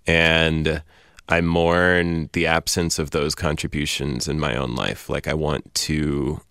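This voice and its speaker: Deep-voiced thoughtful man, dry close sound. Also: flat delivery, slow pace, fairly bright tone, no echo. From Iran. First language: Persian. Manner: articulate, thoughtful, elaborate phrasing with frequent fillers. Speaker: poet guest. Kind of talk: reflective interview conversation.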